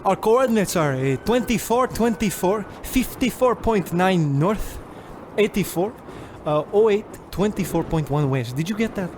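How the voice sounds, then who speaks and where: Man putting on a flustered, high-pitched showman impostor. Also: noisy background. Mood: bluffing. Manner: halting, exaggerated, excitable. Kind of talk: comic impersonation in radio play.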